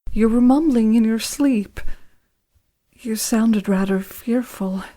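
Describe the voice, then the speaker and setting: Higher female voice, slow delivery, actress as tender worried wife, gentle, formal, fantasy audio drama. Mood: tender concern.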